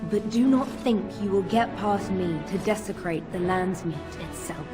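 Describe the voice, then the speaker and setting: A stern female knight played by an actress in a fantasy game. She speaks formally and defiantly in a medium-pitched voice, loyal to her lord, while loud music swells behind her. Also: unhurried, natural voice. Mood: stern defiance. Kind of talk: dramatic fantasy game dialogue.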